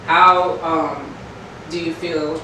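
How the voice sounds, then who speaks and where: A woman's voice: deep voice, fairly even intonation, very slow. A woman with an American accent, interviewing casually in slangy, informal speech. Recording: echoey room, distant mic, noisy background.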